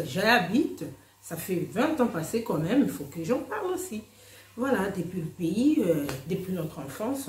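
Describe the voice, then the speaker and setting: A woman's voice: mid-range voice, slight background noise. A woman with a Congolese French accent, host of an online news channel, chatting casually to her viewers, dropping in "hein" and slipping into Lingala. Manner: animated, chatty, sprinkles "hein", slips into Lingala. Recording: roomy echo.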